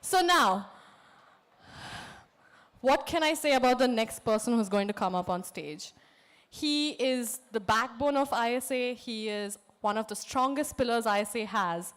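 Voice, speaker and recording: A young woman hosting a live student event on stage, her voice hoarse from screaming, introducing the next guest with excited exclamations. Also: a little high, measured pace. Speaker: emcee and student association president.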